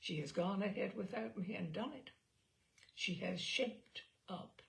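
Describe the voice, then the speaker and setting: A woman giving an expressive, wry dramatic reading of a comic personal essay, with deliberate pauses and emphasis. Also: mid-range voice, creaky. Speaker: reader of humorous essays.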